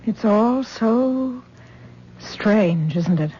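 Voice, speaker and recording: A woman's voice: mid-range voice, expressive, very slow, dark tone, a little hiss or hum. An actress playing a worried middle-aged mother who coaxes and frets over her grown daughter, in a 1970s radio drama. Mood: anxious. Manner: fretful, coaxing. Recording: dull old radio broadcast recording.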